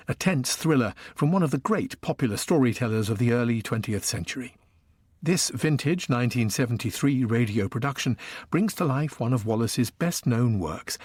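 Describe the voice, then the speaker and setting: A man's voice: medium pitch, darkish tone, breathy edge, no room sound, studio quality. A man giving a scripted introduction to a radio programme in a formal, informative way.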